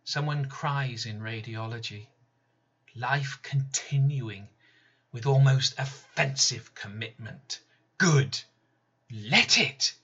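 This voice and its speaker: A man reading his own poem slowly, measured, with sudden loud emphatic rises and soft drops. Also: mid-range voice, husky. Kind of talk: slow spoken-word poetry reading. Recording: slightly dull lo-fi recording.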